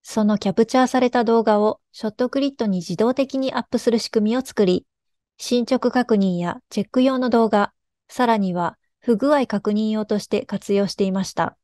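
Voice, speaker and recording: A woman with a slightly high voice narrates a prepared presentation. Her delivery is formal, measured and explanatory. The sound is very dry, with almost no room around it. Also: warm tone, no room sound.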